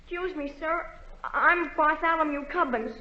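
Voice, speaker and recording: A man's voice: extremely high pitch, normal speed. A young male actor playing a polite, earnest, worried boy in a 1940s radio play. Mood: polite, anxious. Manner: deferential, plaintive. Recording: dull 1940 radio recording.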